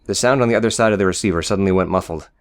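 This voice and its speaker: A man's voice: sits low, racing. A man reading scripted audio book narration of a story. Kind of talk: scripted narration with character dialogue.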